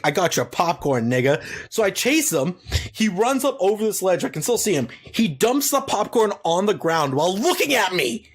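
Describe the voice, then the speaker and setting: A man's voice: sits high, slightly rough. Profane rambling young man telling stories. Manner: crude, profane, rambling anecdotes. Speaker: podcast co-host. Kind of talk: casual comedic storytelling.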